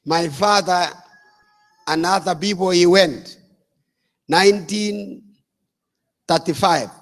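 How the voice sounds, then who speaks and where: An older man from Uganda, an apostle, preaching a sermon in slow, deliberate phrases. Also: high-pitched.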